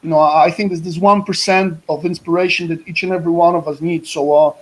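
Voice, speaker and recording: A man's voice: a little high, fairly even intonation, quick pace, poor audio. A Polish man with a mid-Atlantic-tinged accent, an American football coach speaking energetically over a video call, often restarting his words. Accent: Polish with a mid-Atlantic English lilt. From Poland. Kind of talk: guest presentation on a video call.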